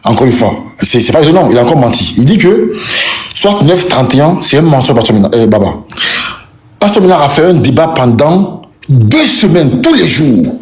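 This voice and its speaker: A man's voice: fairly high pitch, very animated, normal speed, darkish tone. A man arguing combatively in a live online debate, mocking his opponent and refusing to yield the floor. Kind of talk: heated live-stream debate. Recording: echoey room.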